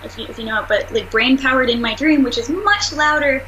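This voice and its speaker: A woman voice actress and singer with a nasal voice, talking fast and animatedly in a casual interview over a video call. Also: high-pitched, a little hiss or hum, rough recording. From United States. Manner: fast, animated, laughs often.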